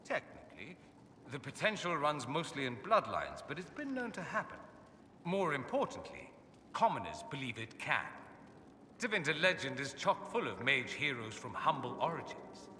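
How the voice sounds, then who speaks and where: A very bright, crisp-edged male voice playing a witty, self-assured noble mage in a fantasy game. His delivery is suave, theatrical and sardonic, swooping with lively pitch. It rings in an echoey hall over faint ambient noise. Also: normal speed. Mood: wry, explanatory. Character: urbane, witty, self-assured foreign aristocrat mage, adult man. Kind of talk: video game dialogue acting.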